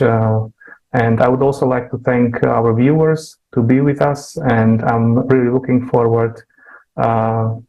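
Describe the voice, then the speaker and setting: A man hosting an online discussion, speaking in a halting way with frequent uhs. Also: mid-range voice, warm tone, low-quality recording. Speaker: discussion host.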